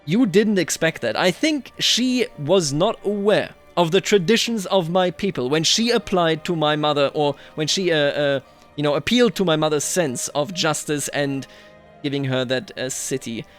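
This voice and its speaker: A man hosting a strategy game roleplay, thinking aloud in a musing, deliberate way as he comments and narrates. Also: on the high side, lively intonation, faint music behind.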